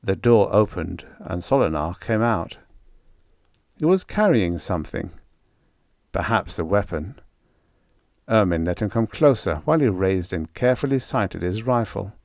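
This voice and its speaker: A man reading a story aloud as an audiobook narrator, in a measured, expressive literary manner. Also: fairly low pitch, creaky, no room sound, clean studio sound.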